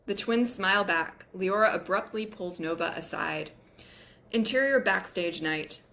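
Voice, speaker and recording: A woman's voice: lowish voice, a little slow, gravelly, sharp tone. A woman reading stage directions as the narrator of a scripted table read.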